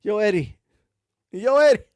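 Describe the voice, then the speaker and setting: A man's voice: high voice, animated, normal speed, darkish tone, no room sound, clean studio sound. A man giving blunt, rambling commentary about boxing, full of slang and cursing. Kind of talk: casual ranting commentary.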